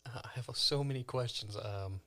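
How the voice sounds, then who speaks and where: A man's voice: medium pitch, moderate pace, sharp tone. A man playing a tabletop role-playing game, chatting in a hesitant, easygoing way. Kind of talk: casual in-character role-play chat.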